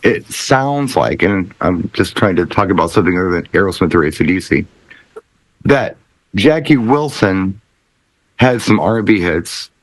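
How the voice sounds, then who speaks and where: A man's voice: sits low, lively intonation, normal speed, no echo, poor audio. A man co-hosting a podcast in casual conversational panel chat. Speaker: podcast co-host.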